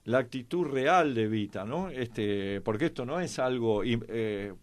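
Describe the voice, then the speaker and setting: A man with an Argentine accent telling anecdotes conversationally as a guest commentator, halting often with pauses and filler words. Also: medium pitch, lively intonation. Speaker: guest commentator on a history and politics programme.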